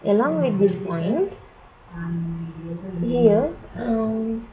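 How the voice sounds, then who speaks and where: An Indian-accented woman gives a step-by-step software tutorial. She speaks in short, broken, repetitive phrases like a classroom instructor. The recording is dull and lo-fi, with clear chatter of people behind her. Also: fairly high pitch, lively intonation, drawn-out words.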